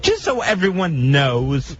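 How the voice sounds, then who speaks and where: A man giving a halting, emphatic, upset personal monologue on the radio. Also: a little high, wildly swooping pitch. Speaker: radio host. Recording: dull lo-fi radio recording.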